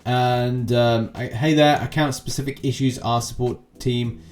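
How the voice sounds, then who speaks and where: A man's voice: medium pitch, fairly even intonation, measured pace, darkish tone. A man giving casual, rambling gaming news commentary as a channel host, with chatty asides.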